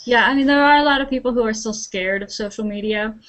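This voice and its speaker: A woman's voice: fairly high pitch, quick pace, a bit bright. A woman, a social media consultant and author, talking plainly about her guide and encouraging business owners in a casual back-and-forth. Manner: encouraging, practical, uses analogies. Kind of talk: conversational discussion.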